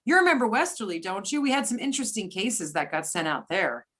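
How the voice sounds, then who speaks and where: A woman reading a brisk, wry government agent in amateur readers theater, with everyday delivery. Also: mid-range voice, very animated, fairly bright tone. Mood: matter-of-fact. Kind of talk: amateur readers theater over a remote call.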